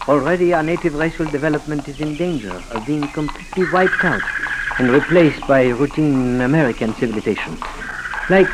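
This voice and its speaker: An actor playing a cynical, formal Haitian doctor with a French accent in a 1940s radio drama. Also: male voice, on the high side, close to an everyday voice. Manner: formal, suave, punctuates speech with courteous address. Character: cynical, smooth Haitian doctor educated in Paris. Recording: noisy 1940s radio broadcast recording.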